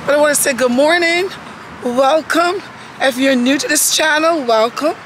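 A middle-aged woman with a hoarse voice, chatting straight to her phone camera on a travel vlog. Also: high-pitched, moderate pace. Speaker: vlogger. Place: outdoors on a city street and in a park. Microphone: phone held at arm's length. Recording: street and traffic noise behind.

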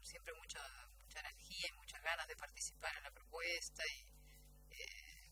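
A woman's voice: low-pitched, huge pitch swings, moderate pace, music in the background. An Argentine woman answering conversationally as a radio guest, reflective and often seeking agreement. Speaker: radio guest, member of a theatre group. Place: small radio studio. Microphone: studio desk microphone. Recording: clear background noise.

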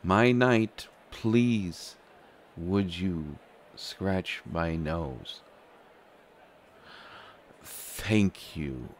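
A man's voice: low voice, extremely slow, long pauses, a little hiss or hum. A man playing an anxious, stammering young prince who is reluctant to become king, in a roleplay audio drama. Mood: wry exasperation. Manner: flustered, hesitant, stammering, sighing. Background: faint music.

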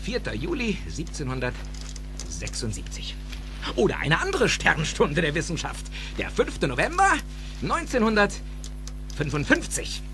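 Excitable eccentric older inventor, wildly swooping. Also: male voice, fairly high pitch, unhurried, noisy background, heavily acted. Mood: precise, eager. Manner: theatrical, emphatic, pedantically precise. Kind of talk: German dubbed film acting.

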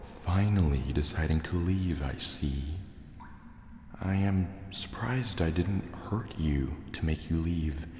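Man voicing a very deep, slow sea dragon. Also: mellow, a little hiss or hum. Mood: stern. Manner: slow, deliberate, threatening yet hesitant. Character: huge, proud, wary male sea serpent dragon, menacing. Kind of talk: soft-spoken ASMR roleplay monologue. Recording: roomy cave reverb, faint water ambience. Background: water sounds and cave ambience.

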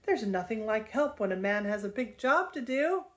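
A woman reading the lines of a kind, steady pioneer father in a storybook. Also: on the high side. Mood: gentle. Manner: slow and cheerful.

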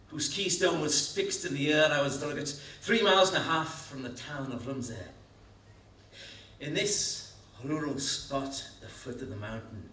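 Middle-aged male poetry reader, higher-pitched, unhurried and expressive, in an echoey hall. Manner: measured, expressive, with dramatic pauses. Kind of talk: dramatic poetry recital. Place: school hall stage before an audience. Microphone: lectern gooseneck microphone. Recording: echoey hall, distant microphone.

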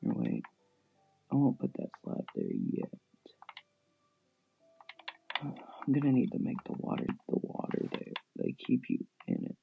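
Gravelly guy on a tinny mic. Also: medium pitch, huge pitch swings, moderate pace, soft background music. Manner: thinking aloud in short fragments. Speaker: gamer narrating own gameplay. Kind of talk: casual gameplay commentary. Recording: thin phone-like mic, noisy, clicking.

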